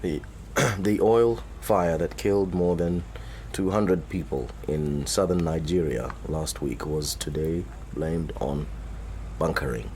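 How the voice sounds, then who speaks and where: A man playing an anguished middle-aged father searching for his son, earnest and halting when upset, in a naturalistic radio drama. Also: very deep voice, a little mellow, full character voice. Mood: shaken. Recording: noisy ambient radio drama soundscape.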